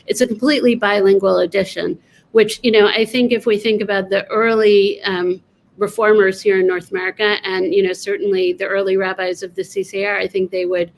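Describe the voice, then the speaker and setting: Woman walking thru slides on a panel, quick, lots of ums. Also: mid-range voice, little pitch movement, vocal fry, poor audio. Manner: quick, with many ums and self-corrections. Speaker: panelist presenting prayerbook editing. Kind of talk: online panel presentation.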